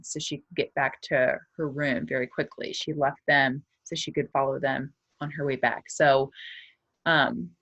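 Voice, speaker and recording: Low-voiced woman teacher reading to children. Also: no room sound. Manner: simple, explanatory, addressing pupils. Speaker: elementary school teacher. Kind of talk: explaining and reading a children's story aloud.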